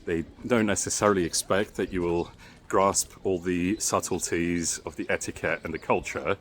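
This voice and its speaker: A man's voice: low voice, fairly even intonation, normal speed, a bit bright, slight background noise. A man whose native language is Bosnian, walking outdoors through a park and giving chatty, wordy travel vlog commentary. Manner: chatty, wordy, with sightseeing flourishes. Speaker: travel vlogger.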